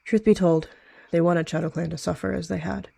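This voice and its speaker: A storyteller narrating a game roleplay. The voice sits at a middle pitch and has a noticeable creak. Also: fairly even intonation, fairly quick, dark tone.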